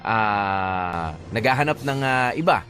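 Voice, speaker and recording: Halting Filipino man, radio DJ. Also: fairly low pitch, animated, bright, forceful tone, soft background music. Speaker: radio DJ co-host. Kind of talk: casual radio discussion.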